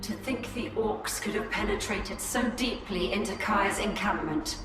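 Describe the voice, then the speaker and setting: An actress playing a resolute Eldar seer who plans and reflects with solemn care in a game cutscene. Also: female voice, medium pitch, close to an everyday voice. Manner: solemn, deliberate planning. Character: resolute Eldar seer and leader, female adult. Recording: echoey hall with game music.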